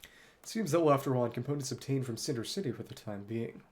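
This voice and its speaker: A man's voice: mid-range voice, animated, quick pace, a little mellow, natural voice. A man voicing an obsessive, defiant game inventor, reading log entries in lofty, formal language. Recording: clear background game noise.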